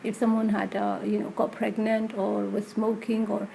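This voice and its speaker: A woman's voice: mid-range voice, monotone, normal speed, creaky, warm tone, a little hiss or hum, rough recording. A woman who works as a community health practitioner, talking conversationally in an interview.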